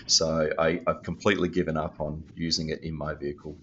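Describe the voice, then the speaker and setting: Australian guest, male, very deep voice but sharp and bright, dry humor, faint background hiss. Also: flat delivery, a little fast, lo-fi sound. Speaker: guest, company CEO. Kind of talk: casual podcast chat.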